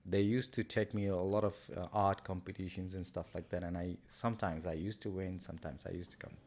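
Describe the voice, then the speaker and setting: A man, a guest in a casual interview, talking in a hesitant, halting way with many pauses. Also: low-pitched, fairly even intonation, darkish tone. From Nepal. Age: 30s. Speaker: guest, artist and kids entertainer.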